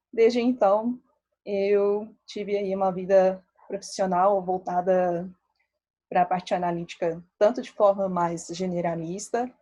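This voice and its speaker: A woman in her thirties from Belo Horizonte with a mixed Minas and Rio accent, a statistician giving a relaxed, chatty talk with many fillers. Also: medium pitch. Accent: Brazilian Portuguese, mixed Minas Gerais and Rio. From Belo Horizonte, Brazil. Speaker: statistician presenting to a meetup. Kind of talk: casual talk.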